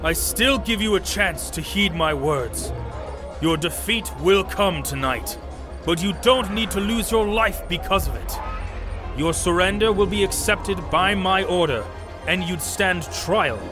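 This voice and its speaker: A man acts out an earnest heroic knight in a fantasy audio drama. The voice sits fairly high, solemn and formal, with music clearly playing behind. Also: expressive, unhurried. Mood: earnest appeal.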